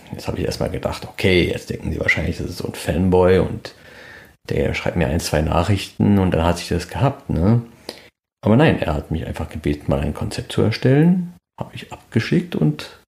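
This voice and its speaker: Deep, creaky-voiced male podcast host. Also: a little mellow. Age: middle-aged. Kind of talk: fan podcast monologue.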